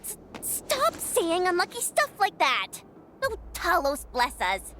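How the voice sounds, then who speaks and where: A woman voicing a spirited young female fighter in a video game, expressive and blunt, speaking informally. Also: very high voice, slow pace, a little hiss or hum, full character voice. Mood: agitated.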